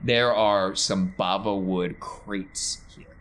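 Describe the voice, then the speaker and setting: A man's voice: sits low, fairly even intonation, slow pace, a bit bright, slight background noise. A man working as game master, narrating improvised scenes in a conversational, descriptive way.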